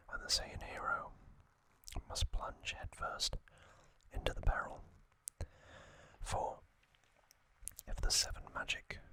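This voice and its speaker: Slow, whispering male ASMR host. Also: very high voice, low-quality recording. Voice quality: breathy, unvoiced whisper. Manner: gentle, unhurried, speaking in short broken phrases. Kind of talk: whispered ASMR trivia and commentary.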